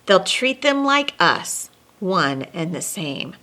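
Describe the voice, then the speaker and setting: A woman's voice: fairly low pitch, very animated, slow pace, pushed and bright, exaggerated voice. A woman voicing tiny, loyal scout elves in a children's storybook, confident, eager and earnestly emphatic. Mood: confident, urging.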